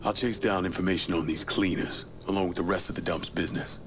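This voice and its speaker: Actor as very deep-voiced American tough guy. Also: steady tone, quick pace, lightly acted. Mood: steady, probing. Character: tough, terse vengeful criminal leader. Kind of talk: video game cutscene acting. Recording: clear background noise.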